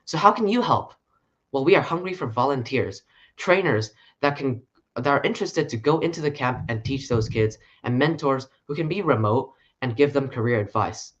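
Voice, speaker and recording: A man presenting a short conference talk in an earnest, steady way. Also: medium pitch, normal speed, dull audio. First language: English.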